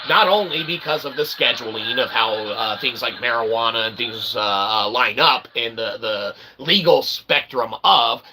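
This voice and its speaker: A man hosting his own channel, rambling through an opinion monologue with lots of "okay?" and sudden loud outbursts. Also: mid-range voice, normal speed, hardly any pauses, a little hiss or hum, low-quality recording. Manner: rambling, repeats "okay?" and fillers, bursts of loud excitement. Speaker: channel host.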